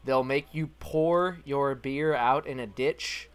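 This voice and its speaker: A man's voice: medium pitch, takes it slowly, smooth. A young man with a Kansas southern accent, chatting casually on a podcast, blunt and profane. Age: young adult. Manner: blunt, profane, animated storytelling. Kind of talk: casual chat.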